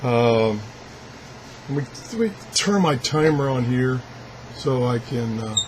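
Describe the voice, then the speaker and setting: Male hobbyist video reviewer, medium pitch, unscripted and halting, talking through what his hands are doing, slightly noisy recording. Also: lively intonation. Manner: halting, thinking aloud while handling things. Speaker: video blogger reviewing gadgets. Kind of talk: casual unscripted product demonstration.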